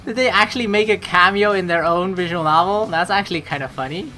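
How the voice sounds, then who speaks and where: A woman giving casual, excitable gameplay commentary in an informal way, swearing freely. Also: on the low side, quick pace, soft background music, rough recording. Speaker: let's play streamer. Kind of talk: casual gameplay commentary, reading game text aloud.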